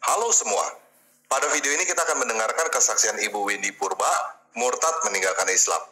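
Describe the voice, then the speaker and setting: A man hosting a video, introducing a guest in a plain, steady presenter way. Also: mid-range voice, brisk. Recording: roomy echo with music.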